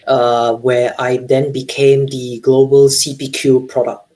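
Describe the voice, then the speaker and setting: Man on a video call giving his intro, pretty calm, mid pitch. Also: a little slow. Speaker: meetup attendee. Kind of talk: casual self-introduction.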